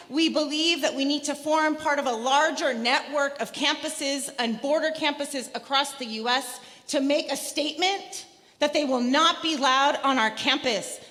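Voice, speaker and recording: A woman assistant professor giving an earnest public comment at a campus forum, pausing with fillers. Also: high-pitched, bright, forceful tone. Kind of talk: public comment at a town hall. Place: large indoor hall at a public forum. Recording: large hall with crowd noise.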